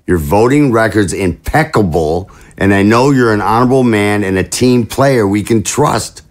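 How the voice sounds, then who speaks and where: A man's voice: lowish voice, lively intonation, moderate pace, lightly acted. A man playing a jovial, scheming political chief of staff in an audio drama, with a glib, jocular, theatrical manner. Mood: grandly pleased.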